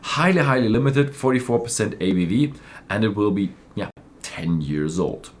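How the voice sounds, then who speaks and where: Casting: male presenter, deep-pitched voice, for informal news updates with a slightly halting, self-correcting delivery. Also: lively intonation.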